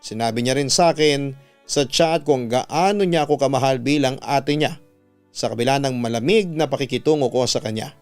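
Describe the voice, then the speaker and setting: A man's voice: mid-range voice, moderate pace, a little mellow. A man who works as a radio announcer, narrating a dramatic first-person story. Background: background music.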